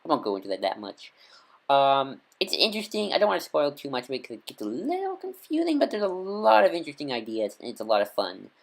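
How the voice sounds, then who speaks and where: A man's voice: fairly high pitch, wildly swooping pitch, fast, creaky. A man talking casually to camera, recommending stories as an enthusiastic fan, rambling with many ums and restarts. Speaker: video creator recommending audio dramas. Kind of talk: casual unscripted talk to camera.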